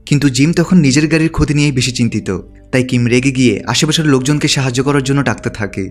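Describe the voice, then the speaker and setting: A man's voice: mid-range voice, fast talker, soft background music. A man narrating a film's story from a script, as a recap narrator. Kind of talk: scripted narration of a film plot.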